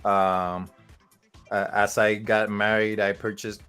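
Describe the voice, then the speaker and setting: A man's voice: fairly low pitch, little pitch movement, slow, a bit bright, clear, resonant tone, soft background music. A man in his forties, from Puerto Rico, telling a casual story as a podcast guest, rambling with fillers and restarts.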